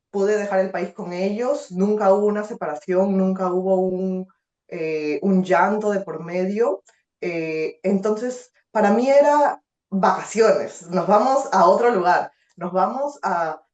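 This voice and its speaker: A young Peruvian woman with a Peruvian Spanish accent, a guest telling her story in a casual interview, expressive and full of fillers and restarts. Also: mid-range voice. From Peru. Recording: roomy, slightly dull sound.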